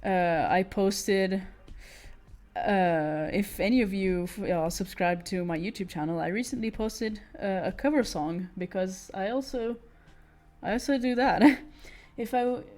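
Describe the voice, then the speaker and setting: A woman's voice: mid-range voice, a little slow, a little mellow. Giggly British-accented woman chatting. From Italy. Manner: chatty, giggly, restarts often. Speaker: streamer. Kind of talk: casual chat while drawing.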